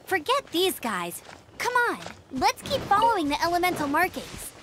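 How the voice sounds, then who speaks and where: High-pitched, spirited young scout girl. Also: huge pitch swings, normal speed, a little hiss or hum, exaggerated voice. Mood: confident. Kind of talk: video game voice acting. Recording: loud game music behind.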